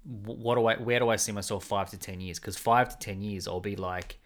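A man's voice: on the low side, fairly even intonation, fairly quick. A man in his thirties co-hosting a casual podcast chat, thinking aloud with fillers and restarts, close to a studio mic. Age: 30s. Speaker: podcast co-host. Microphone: studio mic with pop filter.

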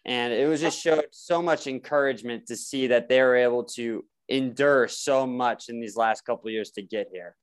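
A man hosting a casual sports conversation, relaxed and conversational. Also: medium pitch, moderate pace, hardly any pauses, smooth, rough recording. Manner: relaxed, conversational, hesitant restarts. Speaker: host.

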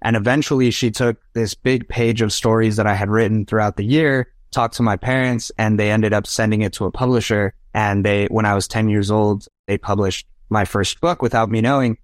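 A man's voice: on the low side, steady tone, normal speed, hardly any pauses, dry recording, clean studio sound. A young adult man whose first language is Spanish, earnestly telling personal anecdotes as a podcast guest and often checking in with "right?". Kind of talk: casual interview storytelling.

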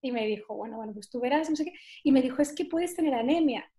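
A woman, a nutrition therapist guest, recounts her own experience in a talkative, meandering way. Her voice sits high but sounds warm and soft rather than bright. She leans on fillers and little tag questions as she goes. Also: quick pace. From southern Spain. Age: 40s. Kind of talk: personal storytelling in an interview.

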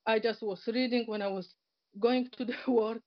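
A woman from Poland with a Polish accent, a guest in an interview, telling a personal story in casual speech. Her first language is Polish, and she talks hesitantly, with many fillers, second-language grammar and frequent soft laughs. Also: on the high side, steady tone, normal speed, dry recording. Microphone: online call. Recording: dull, lo-fi internet call audio.

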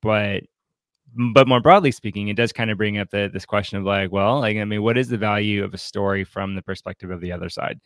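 Low-voiced man thinking aloud, halting. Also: fairly bright tone, no echo. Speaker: podcast co-host and writer. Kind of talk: casual literary discussion.

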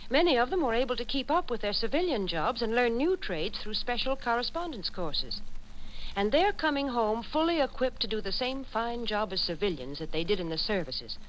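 A woman's voice: sing-song, moderate pace. A woman announcer giving a friendly, folksy sponsor message in 1940s radio style. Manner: friendly, folksy sales pitch. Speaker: commercial announcer. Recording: noisy 1940s radio recording.

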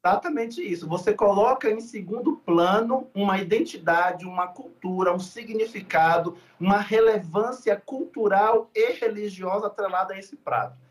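A man's voice: sits high, moderate pace, few pauses. A man from Salvador, a podcast guest talking in an earnest, reflective way with many fillers. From Salvador, Bahia, Brazil. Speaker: guest, food researcher. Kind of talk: podcast interview.

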